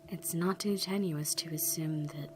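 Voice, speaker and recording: A woman acting a serious, guarded young survivor in a zombie audio drama, speaking in a measured, deliberate way. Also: low-pitched, noisy background. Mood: grave, measured.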